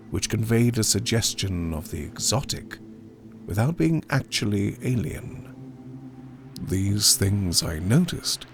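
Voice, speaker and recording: A man performing a haunted survivor in a dramatic gothic horror audiobook, grave and theatrical, with hushed near-whispered phrases. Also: lowish voice, slight background noise, heavily acted. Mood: hushed wonder. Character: haunted male survivor of an opium overdose, recounting horror. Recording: studio narration over music.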